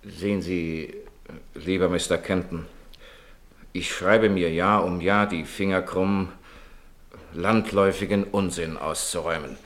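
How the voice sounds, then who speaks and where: Courteous gentleman writer in old radio play, deep voice, earnest and eloquent. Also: fairly even intonation. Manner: urbane, eloquent, measured. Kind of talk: 1950s German radio drama acting.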